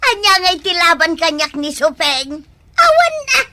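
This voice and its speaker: A woman's voice: extremely high pitch, big pitch swings, normal speed, very noisy. A woman in an Ilocano radio drama playing a proud, stubborn older mother, scornful and boastful. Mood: defiant.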